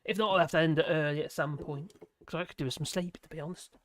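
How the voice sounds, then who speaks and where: Hoarse streamer chatting live, meandering and self-deprecating, mid-pitched, dry close sound. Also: steady tone, quick pace, fairly bright tone, dry recording. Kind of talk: casual live-stream chat.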